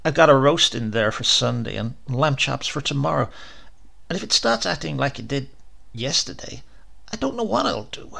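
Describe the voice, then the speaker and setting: A man's voice: medium pitch, animated, normal speed, gravelly, pushed and bright, exaggerated voice. A man voicing a tired, fretful housewife in a dramatised story reading, complaining in a resigned way.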